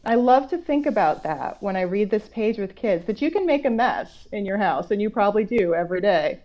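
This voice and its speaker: A woman author reading a picture book aloud to children, going between slow measured reading and conversational explanatory asides. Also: medium pitch, expressive, a little mellow. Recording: dull, lo-fi online call audio.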